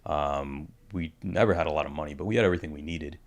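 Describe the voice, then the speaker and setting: A man in his thirties with a strikingly deep voice, born in the Dominican Republic, answers interview questions. He speaks in a relaxed, reflective way, often stopping to restart his sentences and working in "you know" as he goes. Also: quick pace. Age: 30s. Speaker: dentist, podcast guest. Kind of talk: candid conversational interview.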